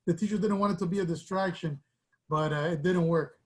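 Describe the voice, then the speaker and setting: Man from Miami, interview guest, fairly high voice, reflective storyteller who restarts his sentences. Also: brisk, darkish tone. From Miami, United States. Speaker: guest artist.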